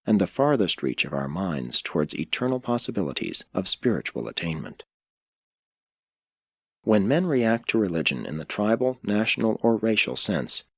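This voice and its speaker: Husky low-voiced man narrating an audiobook. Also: dry recording. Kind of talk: scripted audiobook narration of a philosophical religious text.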